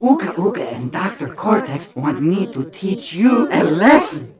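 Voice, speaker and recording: An actor playing a taunting, sneering cyborg henchman in a video game, deliberate and threatening. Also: a little high, big pitch swings, a crowd in the background. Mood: menacing. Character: taunting male cyborg henchman, menacing mad engineer. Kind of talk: video game cutscene villain acting.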